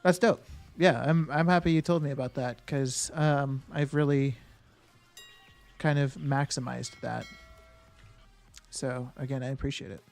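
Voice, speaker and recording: A man's voice: medium pitch, fairly even intonation, unhurried, gravelly, long pauses, darkish tone, faint music behind. A man live-streaming a game, chatting casually with viewers. He thinks aloud and answers chat with lots of fillers and restarts.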